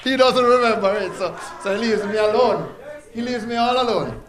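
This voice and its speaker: A high-voiced man with a Jamaican accent addresses an awards ceremony. He talks in a loose, friendly way, stumbling into restarts and asides. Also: normal speed. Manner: chatty, warm, breaks into song, restarts often. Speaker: guest speaker, executive director. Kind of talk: public speech at a ceremony.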